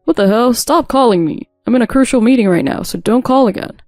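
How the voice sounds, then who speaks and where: A woman's voice: lowish voice, fast, mellow, clear, resonant tone, dry recording, studio quality, soft background music, lightly acted. A woman voicing a self-centred, evasive and dismissive husband in a scripted audio story. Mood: irritated.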